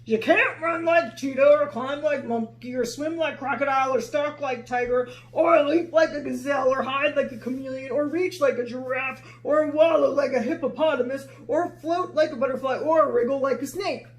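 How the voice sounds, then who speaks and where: A woman's voice: high voice, normal speed, hardly any pauses, close to an everyday voice, poor audio. A woman voicing a big, bossy male lion who roars and jeers in a children's story. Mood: jeering. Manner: loud roaring bluster.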